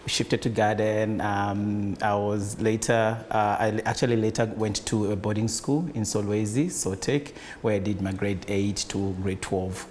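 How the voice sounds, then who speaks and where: A man's voice: lowish voice, level tone, unhurried, few pauses, a little mellow, a little hiss or hum. A man from Zambia, a business founder, answering interview questions in a hesitant way with many fillers. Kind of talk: conversational interview.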